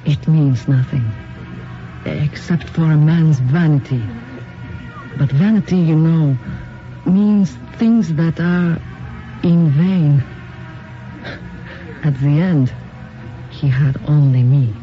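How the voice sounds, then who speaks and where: A woman playing a grave, enigmatic Mexican widow with a blunt, measured manner, in a radio drama. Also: low-pitched. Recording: muffled lo-fi radio drama, faint music.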